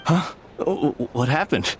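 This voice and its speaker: A man's voice: on the high side, unhurried, slight background noise, close to an everyday voice. A man playing a clueless, hesitant townsman in video game dialogue. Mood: confused.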